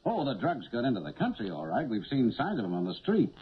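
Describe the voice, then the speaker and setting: A man's voice: medium pitch, huge pitch swings, fast, slightly rough, exaggerated voice. An actor playing a brusque, slippery male narcotics cop in a 1970s radio drama. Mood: hearty, chummy. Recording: dull old radio broadcast.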